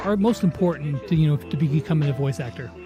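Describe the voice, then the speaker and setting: A man with a fairly high voice hosts an interview at a rapid pace. He keeps it informal and chatty, with music faintly playing behind him. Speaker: interview host. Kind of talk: casual chat.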